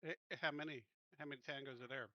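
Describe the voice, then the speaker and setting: A man's voice: medium pitch, fairly even intonation, fast talker, dry recording, dull audio. A man playing a tabletop roleplaying game, talking casually as he makes decisions.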